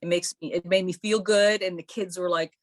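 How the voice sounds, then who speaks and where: A woman's voice: fairly low pitch, fairly even intonation, a little fast, dry recording, studio quality. A woman born in Croatia, a musician guest in a podcast interview, telling stories in a chatty, friendly way. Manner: warm, chatty storytelling with laughs. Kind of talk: casual interview.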